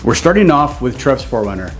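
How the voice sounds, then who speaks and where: A man presenting a casual how-to in an explanatory, measured way, as co-host of a gear channel. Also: medium pitch, big pitch swings, soft background music.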